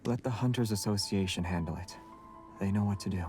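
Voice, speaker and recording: An actor playing a calm, guarded young monster hunter in a video game, soft-spoken and measured. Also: male voice, lowish voice, soft background music, close to an everyday voice. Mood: calm, serious.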